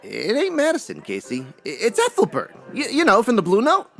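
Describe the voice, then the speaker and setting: An obliging bar worker played by a woman talks with a very lively, up-and-down pitch over music. The manner is folksy and hesitant, in the style of an old radio comedy. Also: fairly quick, gravelly, full character voice. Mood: hesitant, helpful. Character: hesitant, obliging bar employee taking phone calls.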